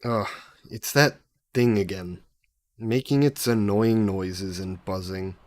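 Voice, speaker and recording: A man's voice: fairly low pitch, takes it slowly, a little mellow. A man voicing a centuries-old male demon bound in a necklace, sly and possessive, speaking in a mocking, theatrical way in an audio roleplay to the listener.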